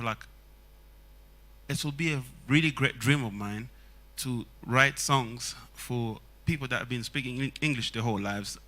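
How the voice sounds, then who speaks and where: A man from Congo speaks English as a later language, earnest and thoughtful, filling pauses with "you know" and "like." His voice is bright and forward at a middling pitch. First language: Swahili. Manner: earnest, reflective, many fillers. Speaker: songwriter. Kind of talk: casual panel talk.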